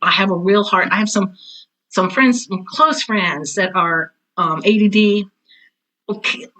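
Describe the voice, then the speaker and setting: A woman's voice: medium pitch, measured pace, sharp tone, poor audio. An American woman in her sixties talking candidly as an interview guest, blunt and full of personal anecdotes. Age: 60s. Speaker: interview guest, drama teacher and author.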